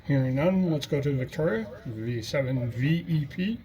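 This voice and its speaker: A man's voice: medium pitch, animated, normal speed, mellow, rough recording. A man calling stations in turn as the controller of an amateur radio net. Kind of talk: radio net roll call.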